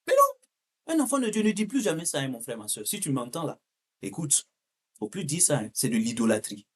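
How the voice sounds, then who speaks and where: A male preacher with a husky, rough-edged voice delivers an impassioned sermon. His pitch swings widely as he exhorts listeners directly, repeating key phrases to drive them in. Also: expressive, brisk, a little mellow. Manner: addresses listeners directly with rhetorical questions. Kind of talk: impassioned sermon with Bible reading.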